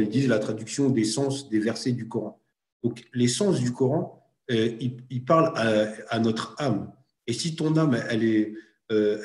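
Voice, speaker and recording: A man's voice: mid-range voice, darkish tone. Hesitant, thoughtful man in a roomy space. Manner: thoughtful, hesitant, circles back and repeats himself. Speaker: guest, Arabic teaching project founder. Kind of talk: casual chat.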